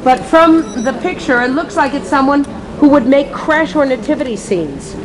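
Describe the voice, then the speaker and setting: A woman's voice: high-pitched, lively intonation, normal speed, crowd noise, rough recording. A woman from Connecticut with an American accent, chatting her way through a guided tour as the group's guide. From Connecticut, USA. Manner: chatty, friendly, jokey.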